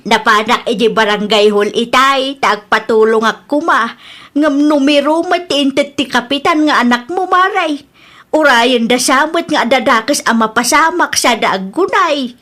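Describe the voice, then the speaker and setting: High, bright worried older mother, acted by a woman. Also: moderate pace. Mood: distressed. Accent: Filipino, Ilocano. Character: worried, scolding older mother and mother-in-law, anxious for family. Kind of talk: Ilocano audio drama acting.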